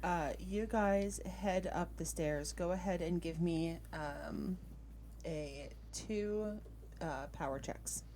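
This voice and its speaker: A woman's voice: lowish voice, slow, dark tone, slight background noise. A woman running a tabletop roleplaying game, giving players casual instructions and rulings. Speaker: game master. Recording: clear music behind voice.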